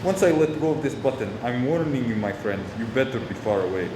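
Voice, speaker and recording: A man's voice: medium pitch, brisk, lightly acted. A man playing a mocking, menacing old professor in a radio drama. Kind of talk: radio play acting. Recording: echoey, clearly noisy room.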